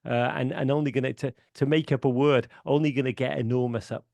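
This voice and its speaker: Middle-aged man, Liverpool accent, gravelly voice, enthusiastic rambling guest, dead dry sound. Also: medium pitch, fairly even intonation, fairly quick, a little mellow, no echo. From Liverpool, England. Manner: enthusiastic storyteller, many restarts and fillers. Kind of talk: casual podcast chat.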